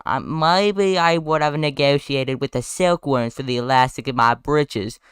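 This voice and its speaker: A man's voice: mid-range voice, unhurried, clean tone, no echo, studio quality, lightly acted. A man voicing a pompous Southern lawyer in a heavy, exaggerated drawl with grandiose, folksy oratory. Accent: exaggerated American Southern.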